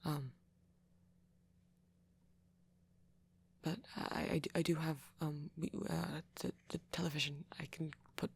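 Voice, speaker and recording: A very breathy, low-pitched, bashful young male vampire, acted out by a woman in an ASMR roleplay. The voice is soft and often half-whispered, with a gravelly edge. The delivery is hesitant and self-conscious, full of anxious stammers and apologetic turns. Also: flat delivery, normal speed, exaggerated voice. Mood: shy, hesitant. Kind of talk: ASMR roleplay monologue to a listener.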